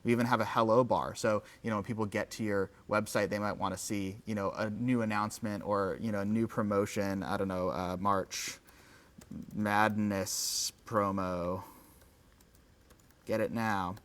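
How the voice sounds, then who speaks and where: Low-voiced, enthusiastic man presenting. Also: little pitch movement, normal speed, a bit bright. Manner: enthusiastic, informal, conversational. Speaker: company executive presenting a livestream. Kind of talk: casual live software demo presentation.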